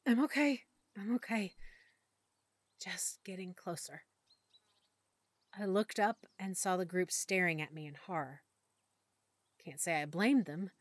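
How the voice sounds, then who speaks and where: A woman narrating a first-person audiobook story in a measured, emotional way that sometimes drops to a hush. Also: lowish voice, dry recording. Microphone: close studio mic.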